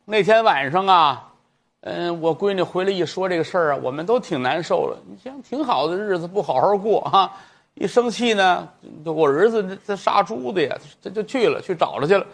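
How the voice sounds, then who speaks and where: A man voicing an elderly mother who pleads in court, crying out her lament in a drawn-out sing-song. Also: high-pitched, lively intonation, creaky, voice pushed up, heavily acted. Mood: pleading. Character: wailing grief-stricken old mother pleading in court.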